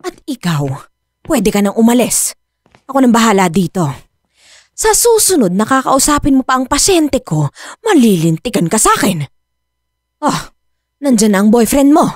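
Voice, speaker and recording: A woman's voice: fairly high pitch, big pitch swings, moderate pace, long pauses, no room sound, studio quality. A woman playing a stern, irritable mother and illegal abortionist in a radio drama, brusque, scolding and bossy.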